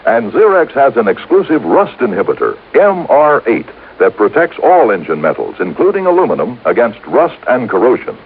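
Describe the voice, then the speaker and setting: Male commercial announcer, 1950s radio style, emphatic, animated pitch, narrow-band recording. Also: normal speed, hardly any pauses, slight background noise. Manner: emphatic, polished salesmanship. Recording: muffled vintage radio broadcast.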